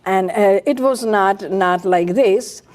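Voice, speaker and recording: Czech-accented older woman, slow and halting. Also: mid-range voice, darkish tone. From Czech Republic. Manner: halting, searching for words with many fillers. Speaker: guest chef. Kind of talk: casual interview chat.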